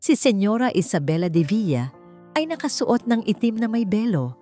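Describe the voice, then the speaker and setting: A woman with a Filipino accent narrating a dramatic story as an audiobook, in a measured, suspenseful way. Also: mid-range voice, big pitch swings, warm tone. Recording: voice over background music.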